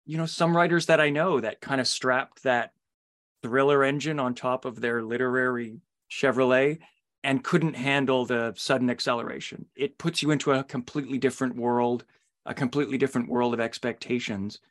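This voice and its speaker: A man's voice: medium pitch, fairly even intonation, moderate pace, fairly bright tone. A man hosts a podcast interview. He talks in a conversational, discursive way, taking his time to build toward his questions.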